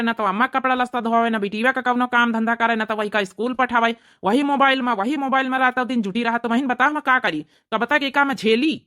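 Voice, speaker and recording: A blunt, hot-headed village husband from a cartoon comedy voiced by a woman, with an Awadhi accent. The voice is fairly high and piercing, speaking quickly as he gripes about being fed up. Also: pushed and bright. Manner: blunt, repetitive griping. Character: fed-up, hot-tempered village husband, younger brother-in-law. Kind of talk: animated family comedy dialogue.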